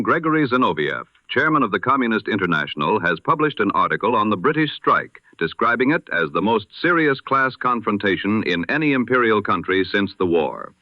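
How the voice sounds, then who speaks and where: A man delivers the news in the measured, formal cadence of an old-time radio announcer. His voice sits low, and his intonation rises and falls with plenty of movement. The sound is dry and muffled, like a vintage broadcast. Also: dry recording. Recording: lo-fi, dull radio-style sound.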